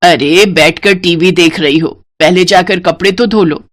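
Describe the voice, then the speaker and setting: A woman's voice: lowish voice, unhurried, close to an everyday voice. A woman voicing a middle-aged mother-in-law in a moral story, bossy, nagging and easily fooled. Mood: scolding. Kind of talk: acted dialogue in a Hindi moral story.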